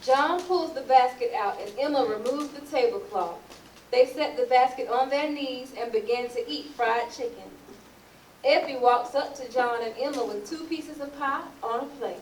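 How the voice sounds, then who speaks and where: A woman's voice: high-pitched, moderate pace. A woman narrating a play, reading out scripted stage directions. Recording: roomy, distant mic, noisy.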